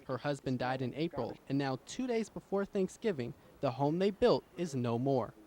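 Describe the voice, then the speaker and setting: A man's voice: mid-range voice, lively intonation, normal speed, darkish tone. A man delivering scripted television news reports as a field reporter. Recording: outdoor location sound with traffic noise.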